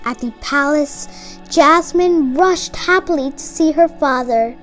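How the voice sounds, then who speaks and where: A woman's voice: high-pitched, measured pace, a bit bright, soft background music. A woman reading a children's story aloud from a script. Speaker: storybook reader.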